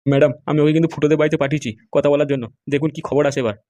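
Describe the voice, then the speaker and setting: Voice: medium pitch, flat delivery, very fast, warm tone, no echo, close to an everyday voice. A performer voicing a fawning, gossipy sidekick in a cartoon comedy, eager and deferential. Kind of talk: amateur cartoon comedy dialogue.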